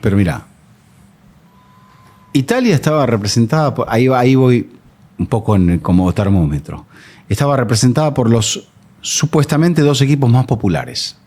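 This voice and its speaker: A man's voice: mid-range voice, moderate pace, pauses often. A man co-hosting a football talk show, asking questions and steering the conversation. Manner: lively, questioning, steers the topic. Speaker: co-host. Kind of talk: casual football discussion.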